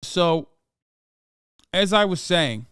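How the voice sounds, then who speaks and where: A man's voice: sits high, expressive, drawn-out words, a bit bright, clean tone, dry recording, clean studio sound. A man in his thirties, a Twitch streamer whose first language is Turkish, ranting with profanity in a live reaction commentary. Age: 30s. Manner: heated, profane rant with frequent fillers.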